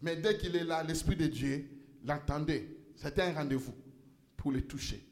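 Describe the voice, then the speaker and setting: A male pastor preaching a sermon in a church, impassioned, rising to shouts and stumbling over repeated words. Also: fairly high pitch, normal speed, reverberant.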